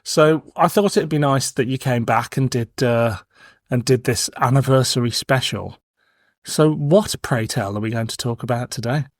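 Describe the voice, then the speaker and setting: A man's voice: medium pitch, normal speed, no echo, clean studio sound. A man in his forties hosting a podcast in casual banter, with a wry, playful, teasing manner. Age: 40s. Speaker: podcast host.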